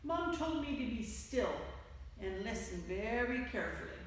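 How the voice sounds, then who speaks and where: Slow-paced woman storyteller in an echoey hall. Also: on the high side, big pitch swings. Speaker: children's story reader. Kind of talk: read-aloud picture book storytelling for children. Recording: echoey hall, distant mic, faint noise.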